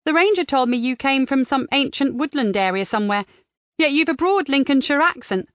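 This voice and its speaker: A woman voicing a polite, probing female police doctor who speaks brightly and briskly. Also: sits high, animated, no room sound, voice pushed up. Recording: slightly dull dry recording.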